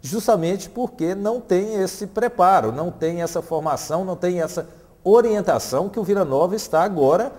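A man's voice: sits high, expressive, moderate pace, darkish tone, big-room sound. A man in his forties, a football club's legal representative, speaking formally in an office interview with frequent hesitation fillers on a lapel mic. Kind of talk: interview statement. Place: office in front of a red wall.

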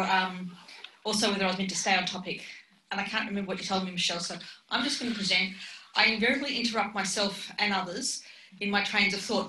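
A woman's voice: mid-range voice, sharp tone, distant mic. A middle-aged woman, a public health physician, giving a frank, chatty, self-deprecating conference lecture. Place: lecture hall with projected slides. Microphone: lectern microphone, often far from it. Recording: echoey hall, speaker off-mic, faint noise.

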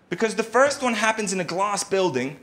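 A man's voice: high voice, expressive, normal speed, fairly bright tone. A man from the UK doing stand-up comedy in a conversational, filler-heavy way, from a live clip with crowd noise. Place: theatre stage. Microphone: handheld mic.

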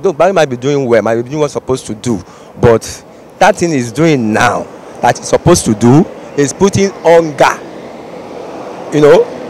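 A middle-aged Nigerian man with a Nigerian accent, answering a street interview at a roadside, rambling and repeating himself. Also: on the high side. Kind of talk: vox pop street interview. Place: busy city roadside outdoors. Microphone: handheld reporter mic. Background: traffic and street ambience.

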